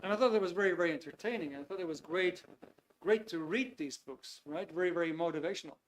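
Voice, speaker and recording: A man's voice: sits high, fast talker, a little hiss or hum, rough recording. Chatty Dutch man in his fifties. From Netherlands. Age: 50s. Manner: easygoing, chatty, frequent hesitations with ums. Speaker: webinar host. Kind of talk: live online webinar talk. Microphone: video call computer mic.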